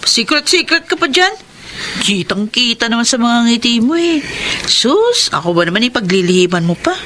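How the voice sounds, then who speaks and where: A woman acting a blunt, nosy, teasing young cousin in a Filipino radio drama, with a Filipino accent and an animated, emphatic delivery. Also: a little high, moderate pace, slight background noise.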